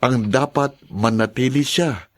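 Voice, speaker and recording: Radio-drama schemer son, played by a man: mid-pitched, young, mocking and sly. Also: unhurried, close to an everyday voice. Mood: scheming. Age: young adult.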